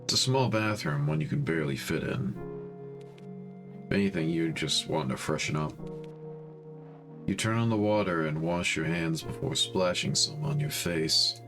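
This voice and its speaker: A man's voice: low-pitched, a bit bright. A man reading a visual novel aloud as storytime narration, breaking off into informal, slangy commentary.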